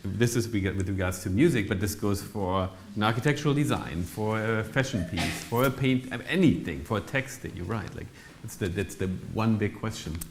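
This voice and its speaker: A man with a fairly low voice moderates a panel discussion in a loose, joking way and passes questions along to the speakers. A faint noise sits behind him. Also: moderate pace, hardly any pauses. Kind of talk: casual moderation.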